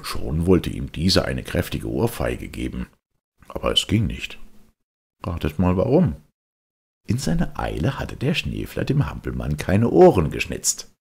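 A man's voice: very deep voice, huge pitch swings, a little slow, creaky, a little mellow, husky. A man narrating a children's audiobook expressively, dramatic and speaking straight to the listener. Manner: dramatic, addresses listeners directly.

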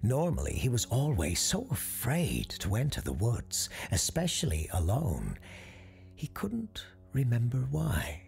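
A woman narrating a ghost story in a hushed, often whispered delivery. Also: very deep voice, wildly swooping pitch, measured pace, creaky.

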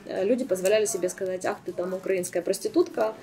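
A woman in her thirties from Kyiv, a salon owner, speaking as a guest in a casual interview. She tells her story with animated rises and plenty of filler sounds, recorded on a desk mic in a small sofa studio. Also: medium pitch, fast, faint music behind. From Kyiv, Ukraine. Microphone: desk microphone.

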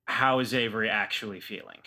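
A man's voice: lowish voice, a little fast, bright, forceful tone. A man casually improvising as a player in a tabletop role-playing game, tentative in his phrasing.

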